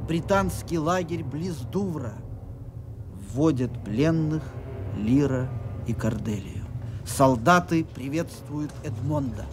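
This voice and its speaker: A man's voice: on the high side, lively intonation, slow pace, noisy background. A man narrating the scene directions of a radio play in scripted style.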